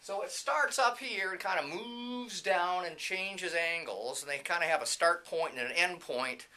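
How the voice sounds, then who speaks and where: A man's voice: high voice, measured pace, pushed and bright. A man casually narrating a walking tour indoors in an informal, off-the-cuff, chatty way. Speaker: CEO and presenter of a training company, giving a tour. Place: indoors, walking through a video production facility. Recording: light background noise, room ambience.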